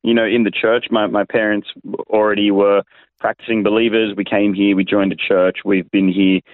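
Male pastor guest, Australian, lowish voice, conversational, thin phone-band audio. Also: flat delivery, moderate pace, no room sound. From Sydney, Australia. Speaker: interview guest, youth pastor. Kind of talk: casual conversational storytelling. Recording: tinny narrow phone-like line.

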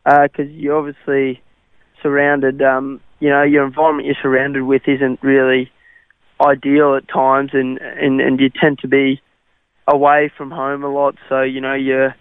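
A man's voice: medium pitch, completely flat delivery, unhurried, smooth, no room sound. A young man from South Africa with an Australian accent, Afrikaans his first language, answering radio interview questions hesitantly with many fillers. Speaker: interview guest, cricketer. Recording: tinny phone-like radio line.

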